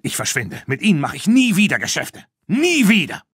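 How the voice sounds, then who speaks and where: Male narrator as scornful rich buyer, curt, raised pitch, irascible, animated, audiobook character. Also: normal speed, slightly rough, dry recording, clean studio sound, full character voice. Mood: furious. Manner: scornful, curt, shrill when angry. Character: haughty, irascible male horse buyer, spiteful and easily panicked.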